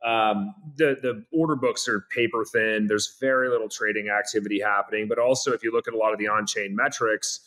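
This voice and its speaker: A man's voice: on the low side, fairly even intonation, brisk, hardly any pauses, bright, forceful tone, rough recording. A man giving casual market commentary as a podcast co-host.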